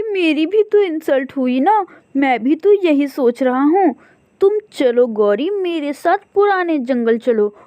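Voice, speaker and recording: A woman's voice: sits high, warm tone, dry recording. A woman voicing a lazy, scheming male crow husband in a cartoon, eager, coaxing and stubbornly optimistic.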